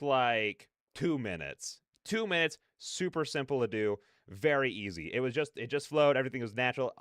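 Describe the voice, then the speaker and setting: Clear-voiced man, chatty livestream host. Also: mid-range voice, fairly bright tone, dry recording, studio quality. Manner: rambling, chatty, slangy. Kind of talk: casual reaction livestream chat.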